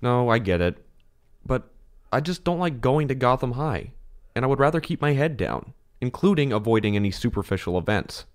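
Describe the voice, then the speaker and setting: A man's voice: medium pitch, sing-song, normal speed, natural voice. A man playing a reserved, polite, brooding rich teenage boy in an audio drama, hesitant and understated. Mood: weary, serious.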